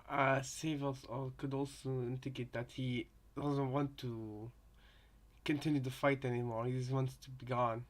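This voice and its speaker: A man with a mid-pitched, fairly flat voice gives casual co-commentary on a reaction. He thinks out loud, halting and repeating phrases as he works toward his point.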